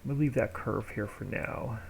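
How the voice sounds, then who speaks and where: Gravelly-voiced male streamer, relaxed and rambling, talking himself through his work at a home desk mic. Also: lowish voice, normal speed, a little hiss or hum. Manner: thinks aloud while working, relaxed and rambling. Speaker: live streamer building a game map. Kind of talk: casual live-stream commentary.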